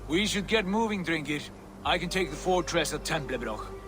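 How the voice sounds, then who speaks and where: A man playing a calculating, commanding Viking warrior in a video game cutscene, measured and authoritative. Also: a little high, lively intonation, over music, close to an everyday voice. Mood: decisive.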